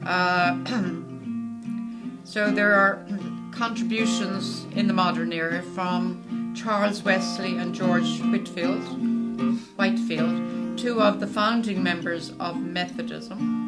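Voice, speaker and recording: Female co-host, lowish voice, brisk comment reader, guitar in background. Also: steady tone, poor audio. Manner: brisk, reading out messages, friendly asides. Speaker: co-host reading viewer comments. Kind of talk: casual chat.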